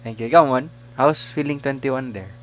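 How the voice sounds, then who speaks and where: A man about twenty with a Filipino accent, hosting a casual sports podcast, chatty and quick to laugh. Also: mid-range voice, slight background noise. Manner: chatty, laughs often, trails off. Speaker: podcast host.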